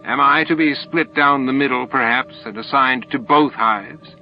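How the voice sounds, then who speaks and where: A man's voice: medium pitch, normal speed, highs cut off, faint music behind, voice pushed up, close to an everyday voice. A man voicing a challenging alien council member with a dry, pointed, formal way of speaking. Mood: dry, sardonic.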